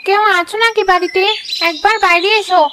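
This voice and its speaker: A woman's voice: extremely high pitch, fairly even intonation, brisk, background noise. A woman voicing an earnest, entreating village woman in a cartoon fairy tale. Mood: pleading. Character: pleading village sweet-shop woman and a dutiful young daughter.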